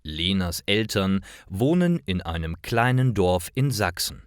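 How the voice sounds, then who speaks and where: A man narrates simple learner stories at a very slow pace, phrase by careful phrase. His voice sits fairly low but moves with very lively intonation. The sound is dry and close. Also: no echo. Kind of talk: scripted narration, slow learner-friendly storytelling.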